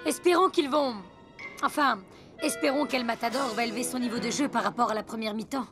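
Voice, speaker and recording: A woman's voice: fairly high pitch, fairly quick, music in the background, lightly acted. A woman playing a keen, earnest football co-commentator in a cartoon, calling the match. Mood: concerned.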